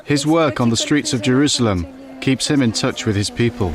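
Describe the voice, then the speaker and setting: A man's voice: mid-range voice, fairly quick, a crowd in the background. A man reading scripted documentary narration in a plain, matter-of-fact way.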